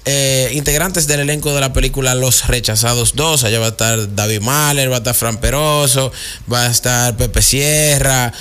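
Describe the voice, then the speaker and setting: A man's voice: medium pitch, fairly even intonation, moderate pace, a bit bright, smooth. A man with a Dominican accent hosting a casual radio show, chatting in an informal, joking way. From Puerto Rico by birth, lives in the Dominican Republic.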